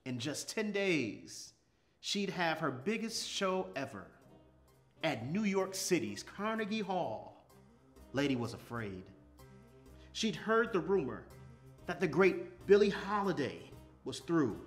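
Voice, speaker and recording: An expressive man reads aloud for children in a slightly high voice. He takes his time and varies his pitch widely, with light music behind him. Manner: measured, expressive. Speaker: story reader. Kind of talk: read-aloud storytelling for children. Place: small furnished room, indoors.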